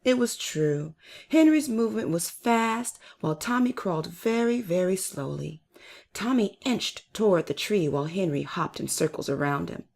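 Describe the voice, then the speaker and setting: A woman hosting and narrating a children's read-aloud story with expressive, emphatic delivery. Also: on the low side, normal speed, vocal fry. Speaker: storyteller and channel host.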